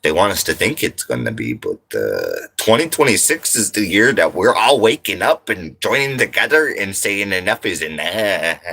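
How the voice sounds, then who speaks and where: A man's voice: sits low, sing-song, measured pace, sharp tone, husky. A man from Montana hosting a casual livestream from his RV, reading comments in a laid-back, slangy, rambling way. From Montana, United States. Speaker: livestream host.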